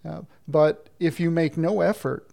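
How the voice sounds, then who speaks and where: A man's voice: fairly high pitch, a little slow, vocal fry, mellow. A man hosting an interview, asking questions in a friendly, chatty way. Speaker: interviewer host. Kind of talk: casual interview chat.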